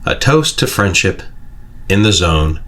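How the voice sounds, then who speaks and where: A middle-aged man reading a scripted personal tribute in an eloquent, measured way, as a fan's recorded message. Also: low voice, very animated, fairly bright tone. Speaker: fan sending in recorded feedback to a podcast.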